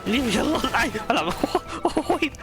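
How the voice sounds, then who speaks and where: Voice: high-pitched, big pitch swings, normal speed. A streamer giving casual, playful commentary on a video game, reacting aloud. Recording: faint game music behind.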